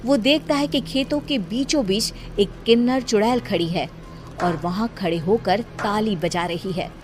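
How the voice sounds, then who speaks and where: A woman's voice: mid-range voice, unhurried, soft background music. A woman reading scripted story narration as the narrator.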